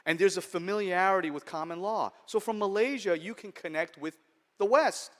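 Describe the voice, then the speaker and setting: Middle-aged new york guy on stage, talks kinda high, keeps asking okay? to the crowd. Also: moderate pace, fairly bright tone. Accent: American, New York. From United States. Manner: conversational, humorous, checks in with "okay?". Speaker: venture capital investor and keynote speaker. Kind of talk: conference keynote speech. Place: large conference hall.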